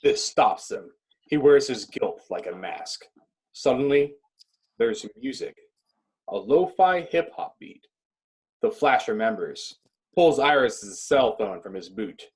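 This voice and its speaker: A man with a husky voice reading stage directions as dramatic scripted narration. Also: mid-range voice, very animated, slow, poor audio.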